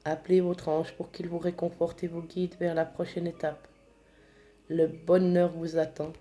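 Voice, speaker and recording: A woman reads spiritual oracle card messages to her viewers at an unhurried pace. Her voice sits low and mellow, softly warm. She sounds a bit congested, as if getting over a cold. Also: little pitch movement, soft background music. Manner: slow, gentle, reads card texts aloud.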